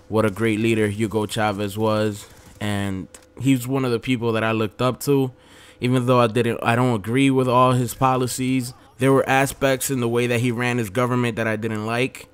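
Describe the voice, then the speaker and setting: Young male vlogger, mid-pitched and smooth, loose rambling delivery with restarts. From Dominican Republic. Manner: rambling, frequent restarts and self-corrections. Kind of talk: casual opinion vlog.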